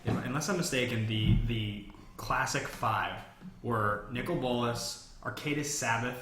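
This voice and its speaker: A man's voice: mid-range voice, unhurried. A man hosting a casual talk show about a card game, asking questions and thinking aloud as he recalls details. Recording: echoey room with background noise.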